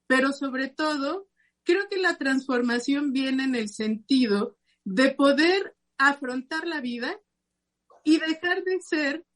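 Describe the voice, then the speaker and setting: A woman with a notably high voice speaks on a radio panel. She talks earnestly and with resolve, stopping now and then on small fillers as she gathers her thoughts. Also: expressive, slow, a bit bright. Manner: earnest, determined, with filler hesitations. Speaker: psychoanalyst and university teacher. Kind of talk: reflective radio discussion.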